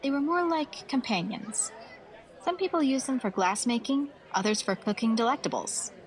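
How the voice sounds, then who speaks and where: A woman's voice: fairly high pitch, lively intonation, moderate pace, some chatter behind. A woman narrating a scripted fantasy story as the storyteller of an audio drama.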